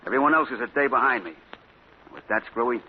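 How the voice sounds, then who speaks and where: A man's voice: mid-range voice, quick pace, close to an everyday voice. An actor playing a surly, shifty male crook who poses as a prophet, using tough slangy street talk, in 1940s radio acting. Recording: dull scratchy 1940s radio broadcast.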